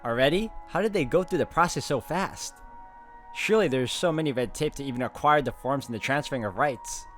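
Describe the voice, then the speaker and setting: A man hosting a game walkthrough, reading story narration aloud in an expressive, conversational way with casual asides. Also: mid-range voice, brisk, faint music behind. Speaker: video game walkthrough host.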